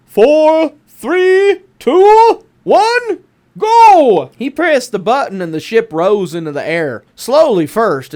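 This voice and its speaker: Southern US man, very animated storybook narrator. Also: a little high. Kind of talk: expressive children's read-aloud.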